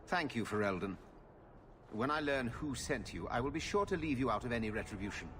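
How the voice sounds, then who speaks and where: An actor playing a shrewd, haughty old nobleman in a video game cutscene, measured and articulate. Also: mid-range voice, lively intonation, a bit bright, slight background noise, lightly acted. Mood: coolly gracious.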